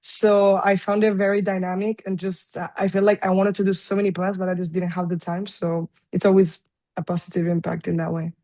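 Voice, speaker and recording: Mellow, even-toned woman chatting. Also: lowish voice, brisk. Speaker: postgraduate alumna. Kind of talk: casual webinar chat.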